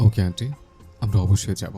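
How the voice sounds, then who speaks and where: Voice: deep voice, steady tone, fairly quick, creaky, mellow, soft background music. A performer playing a polite, reserved young man, an elder brother, in an audio story drama.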